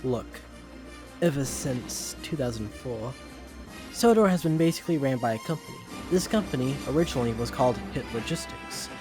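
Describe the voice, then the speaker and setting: A man's voice: mid-range voice, expressive, moderate pace, music in the background, close to an everyday voice. A man playing a solemn male duke in an amateur dramatic reading, declamatory as he addresses his subjects. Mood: grave.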